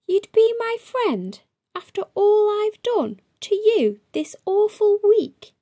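A woman's voice: very high voice, big pitch swings, takes it slowly, warm tone, pitched above the performer's own voice, heavily acted. A woman voicing a big playground bully boy from a children's storybook, with exaggerated, swooping delivery. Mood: astonished, tearful.

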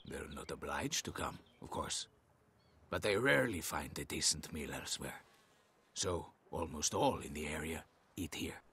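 An actor playing a kindly, patient older man who runs a poorhouse in a video game, speaking in a gentle, courteous, measured way. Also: a bass voice, lively intonation, breathy edge, heavily acted. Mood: calm, courteous.

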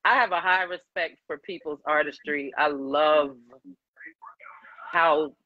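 A woman in her forties, a singer-songwriter guest with a deep voice, chatting animatedly and laughing often in an interview over a video call. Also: slow pace, no room sound, dull audio. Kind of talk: casual interview chat. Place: home room, video call. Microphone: webcam on video call.

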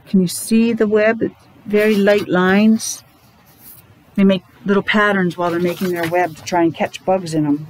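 A woman's voice: mid-range voice, steady tone, moderate pace. Mature woman teacher reading aloud, noisy room. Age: middle-aged or older. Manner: simple explanatory asides and questions to children. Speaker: preschool or elementary teacher. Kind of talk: read-aloud storytime for young children with chatty asides. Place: classroom, indoors.

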